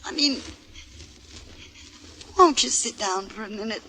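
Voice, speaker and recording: A woman's voice: mid-range voice, unhurried, bright, forceful tone, slight background noise. An actress playing a frightened, downtrodden mother who pleads and stammers in a 1940s radio drama. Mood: nervous.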